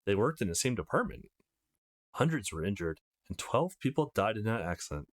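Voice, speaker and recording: A man's voice: on the low side, sing-song, a little fast. A man reads as a young man leading a visual novel. He is earnest and easily embarrassed, thinking aloud self-consciously, and his intonation varies a great deal. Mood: somber. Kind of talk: visual novel character reading.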